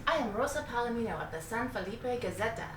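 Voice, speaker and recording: A woman voicing an eager young female newspaper reporter, bright and hurried. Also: on the high side, animated, background noise, close to an everyday voice. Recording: echoey room, distant mic, background ambience.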